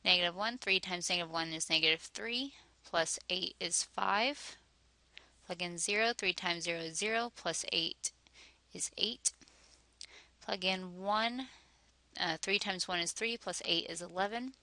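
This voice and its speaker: A woman math teacher talks through her working one step at a time, methodical and steady. Her voice sits a little low but sounds bright and tense, slightly hoarse, and the sound is dry and close. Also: measured pace, no echo.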